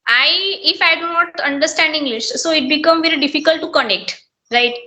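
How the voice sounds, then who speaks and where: Woman, high bright voice, polite and encouraging, lots of uh's, video call. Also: a little fast. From Maharashtra, India. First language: Marathi. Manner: polite, encouraging, many fillers. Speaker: host, English learner. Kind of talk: casual conversation practice over video call. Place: small village home.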